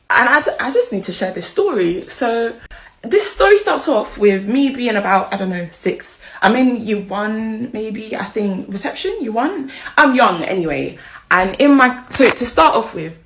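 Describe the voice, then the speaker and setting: A young British-accented woman tells a story in a medium-pitched voice. She is chatty and slangy, poking fun at herself, and she slips into little acted-out exchanges as she goes. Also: fairly bright tone. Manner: chatty, slangy, self-mocking. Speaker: video creator. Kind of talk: casual anecdote telling with acted-out dialogue.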